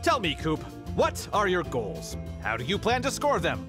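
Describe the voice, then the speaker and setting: Male cartoon principal: high, condescending, lecturing, very animated. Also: moderate pace, over music. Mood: pompous. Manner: pompous, lecturing. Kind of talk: animated comedy game acting.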